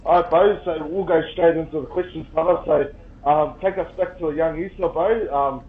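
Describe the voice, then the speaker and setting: A man's voice: a little high, steady tone, normal speed. A man hosting a casual podcast, upbeat and friendly, calling his guest bro and brother. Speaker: podcast host. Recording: dull lo-fi sound with faint noise.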